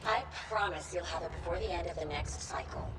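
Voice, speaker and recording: A woman playing a formal, earnest client who negotiates in measured words in a video game. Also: on the low side, heavy background noise, lightly acted. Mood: earnest, pressing. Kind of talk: video game cutscene acting. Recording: loud background ambience.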